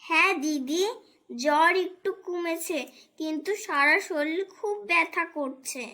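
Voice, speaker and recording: A woman plays a sickly little brother in a cartoon folk tale. The voice is high and childlike, always wanting something and pleading for it. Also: unhurried, sharp tone, full character voice. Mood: weak. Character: sick, hungry little village boy, younger brother.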